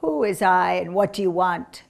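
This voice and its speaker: A woman's voice: on the low side, expressive, moderate pace, vocal fry. Woman voicing a plaintive, sick old widow. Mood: wary.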